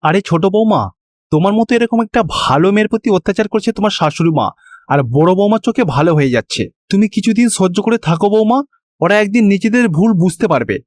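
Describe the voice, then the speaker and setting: A man acts out a shrewd older village father-in-law in a cartoon. He reasons and admonishes in a high, dry-sounding voice. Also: animated, a little fast, no echo. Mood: consoling.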